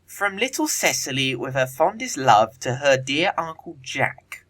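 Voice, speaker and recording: Male actor as young dandy, bright voice, lively swooping intonation, arch, teasing, languid wit, period comedy audio play. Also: mid-range voice, measured pace, heavily acted. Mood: needling. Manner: arch, languid, epigrammatic, needling.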